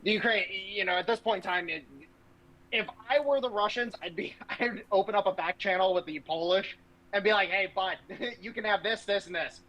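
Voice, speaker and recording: A man's voice: high voice, normal speed, bright, forceful tone, highs cut off. A man giving casual political commentary as a podcast host, speaking in a brash, blunt, profanity-laced way.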